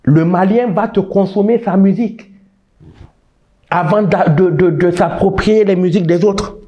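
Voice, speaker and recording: A man's voice: high-pitched, measured pace, dark tone, muffled recording. A man in his thirties from Niger, answering interview questions with emphatic, passionate talk, often restarting words. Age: 30s. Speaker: guest.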